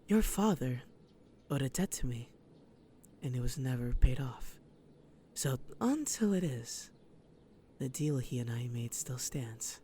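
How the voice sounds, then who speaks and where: Someone acting a breathy mocking killer villain, slow n theatrical, audio drama, noisy background. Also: medium pitch, lively intonation, mellow, lightly acted. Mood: smug, taunting. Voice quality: breathy, often dropping to a whisper. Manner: taunting, theatrical, slow and menacing. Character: Harrow Lilin, sly mocking serial killer villain.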